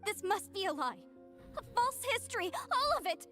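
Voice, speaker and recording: Very high-pitched, fragile young woman. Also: very animated, normal speed, pushed and bright, faint music behind, exaggerated voice. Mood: distraught, denying. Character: devout, distraught young woman clinging to her faith. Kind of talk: scripted video game voice acting.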